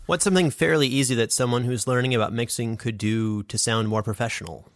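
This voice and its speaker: A man with a medium-pitched voice hosts a casual podcast interview. He speaks conversationally, and the sound is very dry, with no room echo. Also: steady tone, fast. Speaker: interviewer and audio drama podcast creator.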